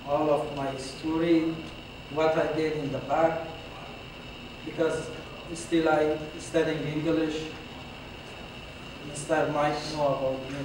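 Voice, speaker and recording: Slow hesitant man speaking in an echoey hall. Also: a little high. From Afghanistan. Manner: hesitant, frequent "uh" pauses, non-native grammar. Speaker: symposium presenter. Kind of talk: halting slide presentation. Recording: very echoey hall, far from mic, with clear room noise.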